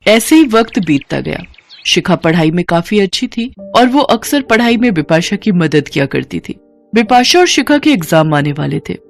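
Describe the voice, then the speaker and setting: A woman storyteller with a medium-pitched voice delivers scripted narration for a moral tale. Music plays behind her voice. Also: very animated, normal speed, vocal fry.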